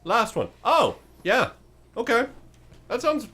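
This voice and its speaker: A man's voice: extremely high pitch, huge pitch swings, takes it slowly, sharp tone, breathy edge, voice pushed up, full character voice. A man voicing a giant undead skeleton oracle in a tabletop game, glib and rambling, haggling casually and hungrily. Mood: amused, skeptical.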